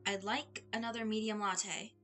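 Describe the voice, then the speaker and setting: A deadpan young man in a story reading, voiced by a woman. He is calm, stubborn and curt, at a middle pitch over music. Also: normal speed, close to an everyday voice.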